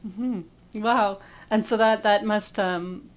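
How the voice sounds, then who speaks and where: A woman's voice: medium pitch, fairly even intonation, slow. A woman hosting a podcast, upbeat and friendly as she interviews a guest with some ums. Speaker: podcast host. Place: radio studio.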